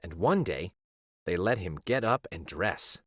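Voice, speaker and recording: A man reading a children's story aloud as a storyteller, with measured, dramatic phrasing. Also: low voice, lively intonation, a bit bright, no room sound, clean studio sound. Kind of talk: expressive scripted storybook narration.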